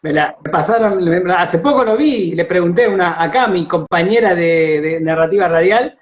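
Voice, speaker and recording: An Argentine man hosting a casual live-stream interview, speaking haltingly and circling back over his phrases. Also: high voice. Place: live video call on social media. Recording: dull, lo-fi internet call audio.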